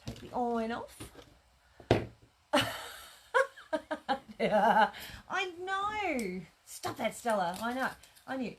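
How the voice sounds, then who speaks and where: A woman's voice: high-pitched, background noise. A woman hosting a live craft demonstration from home, chatting and muttering to herself as she sews and answering viewer comments. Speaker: craft shop owner demonstrating sewing. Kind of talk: live-streamed craft demo chat. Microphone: tablet streaming live.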